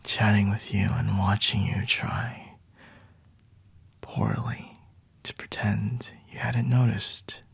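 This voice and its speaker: Male actor as aloof, seductive vampire for ASMR roleplay: very breathy, unhurried, dry close-mic whisper, intimate and teasing. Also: lowish voice, steady tone, no echo, exaggerated voice. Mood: teasing, smug. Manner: slow, hushed, haughty and seductive, with lingering pauses. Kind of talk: ASMR roleplay, scripted monologue to the listener. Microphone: close-up microphone.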